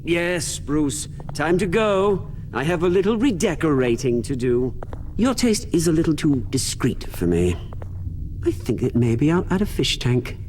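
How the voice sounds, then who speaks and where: Smug villain, wildly swooping pitch. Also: male voice, big pitch swings, unhurried, a little mellow, slight background noise. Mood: gloating, sarcastic. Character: taunting, smug male crime boss villain. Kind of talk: dramatic video game acting.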